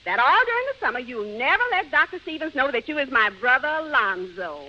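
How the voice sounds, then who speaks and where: A woman playing a bossy, no-nonsense wife in a radio sitcom, brisk and emphatic. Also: high-pitched, big pitch swings. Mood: firm, confiding. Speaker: comic actress. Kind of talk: 1940s radio sitcom acting. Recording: muffled, hissy old radio recording.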